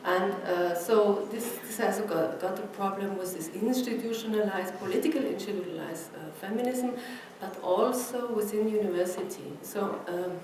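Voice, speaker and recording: Female academic speaker, Austrian German accent, mid pitch, halting lecture delivery, heard from afar in a very echoey room. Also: a little mellow. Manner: halting, searching for words. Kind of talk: conference lecture in non-native English. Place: presentation room with an audience. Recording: echoey room, distant mic, noisy background.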